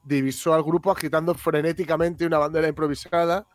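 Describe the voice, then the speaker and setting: A man co-hosts a casual radio show, reading out news stories and chatting as he goes. His voice sits a little high, he laughs easily, and the recording is dry and close. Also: little pitch movement, fast, no room sound. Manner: chatty, laughs often, tags remarks with "¿no?".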